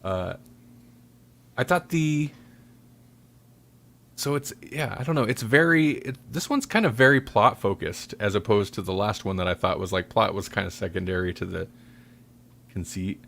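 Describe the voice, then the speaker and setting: Low voiced guy on a podcast, kinda rambling halting casual chat. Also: gravelly, a bit bright. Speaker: podcast host. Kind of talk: casual chat reviewing audio dramas.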